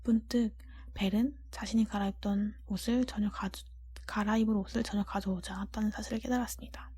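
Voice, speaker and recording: A woman whispering a storybook in a breathy voice, gently and deliberately, as an ASMR reading. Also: mid-range voice. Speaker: audiobook-style narrator.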